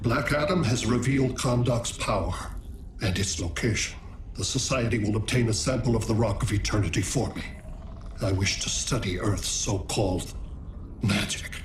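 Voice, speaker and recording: A man's voice: on the low side, normal speed, close to an everyday voice. An actor playing a cold, commanding male alien conqueror in a video game cutscene. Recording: echoey, distant, noisy.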